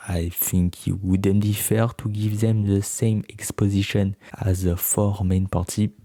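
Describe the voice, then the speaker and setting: A man's voice: deep voice, mellow, dry recording. A man whose first language is French, speaking English with a French accent as host of his own channel, explaining things earnestly and neutrally with frequent fillers. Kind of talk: solo explainer to camera.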